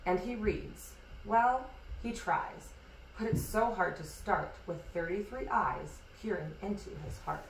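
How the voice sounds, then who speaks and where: A woman reading a rhyming children's picture book aloud in an expressive, measured storytelling way. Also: medium pitch. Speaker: storybook reader. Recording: echoey room, distant mic, hissy noise.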